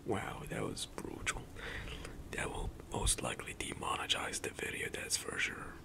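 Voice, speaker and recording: A young man whispering ASMR commentary while playing a game, soft and hushed with sudden loud outbursts, into a desk mic in a small room. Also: normal speed. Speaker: gaming streamer. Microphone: headset and desk mic, webcam in corner.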